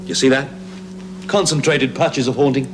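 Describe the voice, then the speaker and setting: A man's voice: medium pitch, fairly even intonation, moderate pace, lightly acted. An actor playing a male researcher who muses in a matter-of-fact way, in a 1970s television drama. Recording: hissy old TV soundtrack.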